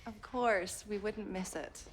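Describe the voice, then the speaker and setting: A woman's voice: medium pitch, slow, a little hiss or hum. An actress playing a wry, self-assured 1920s female private detective with a dry manner. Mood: skeptical, teasing. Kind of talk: television period drama acting.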